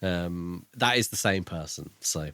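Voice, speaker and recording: A man co-hosting a podcast, discussing a book in a casual, measured and reflective way. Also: low voice, animated, pushed and bright, no echo. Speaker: podcast co-host.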